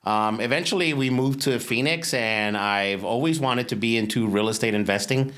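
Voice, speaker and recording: A man's voice: mid-range voice, normal speed, a bit bright. A man in his forties from Los Angeles, a real estate investor and mindset coach, telling his story in a candid, casual interview. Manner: candid, storytelling, repeats words as he goes.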